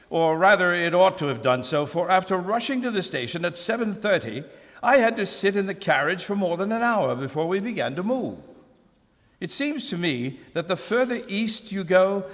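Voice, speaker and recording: A man reading a classic novel aloud at a live museum event, in a measured, expressive first-person narration. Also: sits high. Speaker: reader. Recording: long hall reverb.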